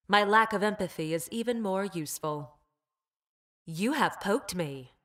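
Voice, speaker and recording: A woman's voice: medium pitch, a bit bright, lightly acted. A woman playing a clinical, detached female android scientist in a video game, speaking with formal precision and ruthless logic. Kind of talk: video game character voice lines.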